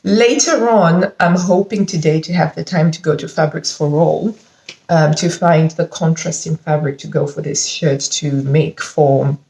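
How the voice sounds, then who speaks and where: Brief: enthusiastic sewing vlogger, slightly bunged-up voice, lower-pitched, echoey home room. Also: female voice, a little slow. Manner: chatty, enthusiastic, animated with frequent laughs. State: slightly congested from hay fever. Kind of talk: casual fabric haul chat. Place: living room at home, indoors. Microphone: camera mic at close range.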